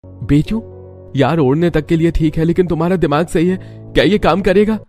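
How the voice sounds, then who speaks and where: A doubtful, questioning young husband, played by a woman in a cartoon story. The voice is lowish and dark, with faint music underneath. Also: moderate pace, close to an everyday voice. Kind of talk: Hindi cartoon story dialogue.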